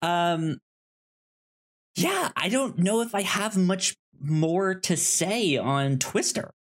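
A man's voice: high-pitched, animated, slow pace. A man co-hosting a casual movie podcast, often hesitant and thinking aloud as he goes. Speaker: podcast co-host.

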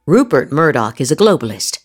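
A woman's voice: sits low, sing-song, fairly quick. A woman host reading social media posts aloud in a theatrical, emphatic way, drawing out her words. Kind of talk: dramatic verbal replay of written posts. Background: music.

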